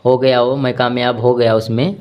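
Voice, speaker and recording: A man from northern India giving a testimonial on a recorded phone call as a disciple, halting, repetitive and deferential. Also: medium pitch, level tone, clear, resonant tone. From Shahjahanpur, Uttar Pradesh, India. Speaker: disciple and caller.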